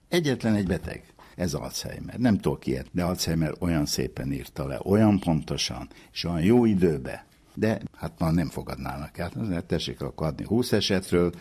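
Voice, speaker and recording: A man with a husky voice, explaining as an expert in an informal, emphatic storytelling way. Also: low voice, darkish tone. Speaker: expert interviewee. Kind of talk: informal explanatory talk on medical history.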